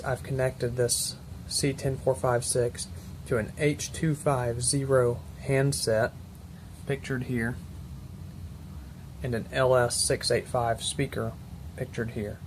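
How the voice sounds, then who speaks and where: A man with a mid-pitched voice talks through technical equipment as a presenter, off the cuff and step by step. His delivery is matter-of-fact and exact about detail. There is noticeable background noise in the recording. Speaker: presenter demonstrating military intercom equipment. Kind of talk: unscripted technical walkthrough.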